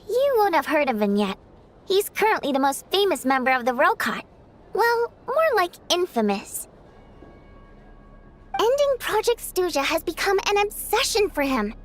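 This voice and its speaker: A woman voicing a small, childlike female game companion who gives chatty, knowing and mildly snarky asides. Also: very high-pitched.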